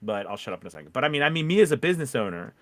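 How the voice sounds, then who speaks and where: A man speaks as a podcast host in a casual discussion. His pitch sits in the middle for a man, and he rambles conversationally, often turning to questions. The sound is dry, with very little room on it. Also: big pitch swings, racing, a bit bright, dry recording. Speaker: podcast host and voice-over coach.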